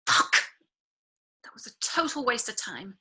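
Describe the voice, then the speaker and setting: An actress playing a hapless, hungover divorcing woman in her late twenties, halting and flustered, in a table read over a video call. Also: on the high side, big pitch swings, background noise. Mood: angry. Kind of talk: acted script table read.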